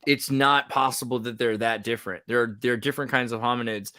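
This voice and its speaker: A man hosting a podcast, talking casually in an animated, rambling way that often breaks off mid-sentence. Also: medium pitch, quick pace, smooth, no echo, clean studio sound. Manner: animated, rambling, self-interrupting. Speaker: podcast host. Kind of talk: casual conversational podcast chat.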